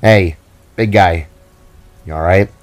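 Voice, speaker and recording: A man voicing a caring, frank female fantasy creature with a gentle, hesitant manner and quiet sorrow. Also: low-pitched, slow, faint music behind. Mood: concerned.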